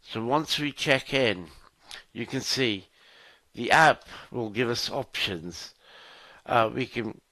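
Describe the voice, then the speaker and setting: A man walks through a software demonstration at a slow pace. He explains things in short, halting phrases, with a mid-pitched voice in a dry recording. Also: animated, dry recording, low-quality recording. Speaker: solution architect presenter. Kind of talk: software demo walkthrough.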